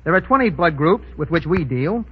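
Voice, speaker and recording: Guy, high raspy voice, explains forensics like a scripted guest on old radio, lo-fi dull sound. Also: expressive, fairly quick. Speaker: guest crime detection expert. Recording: dull, lo-fi 1930s radio recording.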